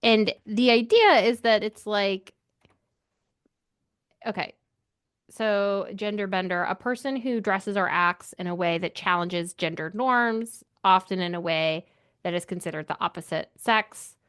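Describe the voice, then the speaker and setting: A woman's voice: mid-range voice, measured pace, fairly bright tone, clear, resonant tone. A woman co-hosting a book podcast in casual conversation, speaking informally and offering her opinions freely. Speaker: book club podcast co-host. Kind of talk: casual book discussion chat.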